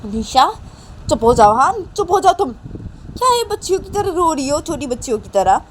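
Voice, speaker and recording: A woman's voice: on the high side, brisk, darkish tone, lightly acted. A woman voicing an exasperated mother who scolds a grown daughter insistently and repetitively in an amateur dramatized story. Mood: exasperated scolding. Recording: faint vehicle noise behind the voice.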